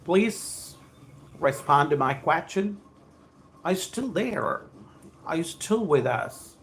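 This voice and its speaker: A man's voice: a little high, expressive, slow, vocal fry, a little hiss or hum. A man with a South Indian accent teaching English on a live stream, reading aloud and addressing viewers in an effusive, formal way, repeating his phrases. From Andhra Pradesh, India. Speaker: spoken English trainer hosting a live stream.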